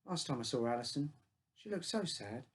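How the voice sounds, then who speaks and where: A man's voice: medium pitch, animated, moderate pace, a bit bright. A man reading his own short story aloud as a first-person narrator, in simple childlike phrasing.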